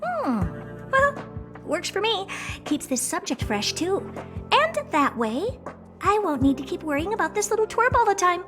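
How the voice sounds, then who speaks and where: Haughty, very high-pitched girlish genius. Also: very animated, moderate pace, music in the background. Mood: offhand, breezy. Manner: haughty, blunt, dismissive, easily intrigued. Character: haughty, blunt, self-important female genius, owner of a space station. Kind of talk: English video game dub acting.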